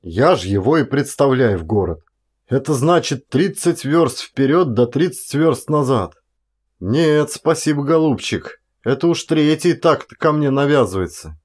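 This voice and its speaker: A man voicing a blustering former policeman turned forest guard in an audiobook, with a folksy, loud, grumbling manner. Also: mid-range voice, animated, measured pace, husky.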